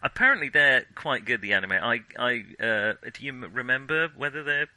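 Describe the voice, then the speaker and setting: A man co-hosting a casual film discussion, talking in a halting, animated way and often starting sentences over. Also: mid-range voice, dry recording. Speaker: podcast co-host.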